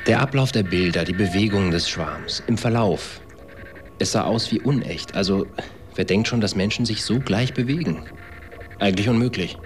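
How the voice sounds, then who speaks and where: Male actor as cynical coarse man, low pitch, sardonic, clipped, radio drama, clear music bed. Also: moderate pace, natural voice. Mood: matter-of-fact. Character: cynical, coarse man recounting events under questioning. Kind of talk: naturalistic radio drama acting.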